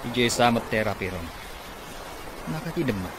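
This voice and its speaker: A man's voice: mid-range voice, animated, moderate pace, noisy background, natural voice. A man playing a greedy, scheming business rival in an Ilocano radio comedy-drama. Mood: gleeful scheming.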